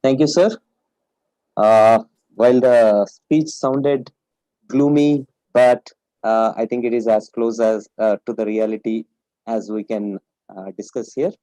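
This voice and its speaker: A man, a faculty member, moderating a Q&A and asking questions haltingly with many fillers. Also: medium pitch, fairly even intonation, warm tone, dry recording, rough recording. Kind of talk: hesitant Q&A questioning.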